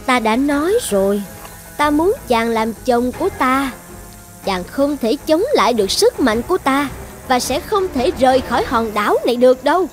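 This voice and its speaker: A woman's voice: high-pitched, animated, normal speed, faint music behind. A woman voicing a possessive, lovesick sorceress princess in a cartoon fairy tale, commanding and coaxing with an edge of menace. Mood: menacing.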